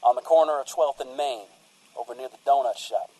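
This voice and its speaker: Radio comedy guest: a sincere, rambling small-town cult father voiced by a man, relaxed and dark-toned. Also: mid-range voice, fairly quick, natural voice, rough recording. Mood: earnest. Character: earnest, folksy male priest of a rock cult. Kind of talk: comedic radio-play interview.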